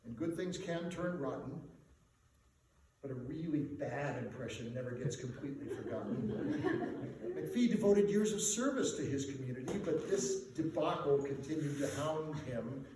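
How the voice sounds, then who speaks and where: Guy reciting funny rhyming story on stage, theatrical, highish voice, really echoey room, mic kinda far off. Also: lively intonation. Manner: theatrical, measured delivery with emphatic swells. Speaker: storyteller performing for an audience. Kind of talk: dramatic recitation of comic rhyming verse. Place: live performance before a laughing audience in a reverberant room. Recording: echoey room, distant mic, faint noise.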